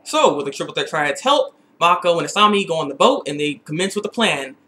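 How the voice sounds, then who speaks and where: Young dude recapping a show, high bright voice, worked up, repeats himself a lot. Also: male voice, fairly quick. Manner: excitable, animated, repeats phrases for emphasis. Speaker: TV episode reviewer. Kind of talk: casual video recap and review.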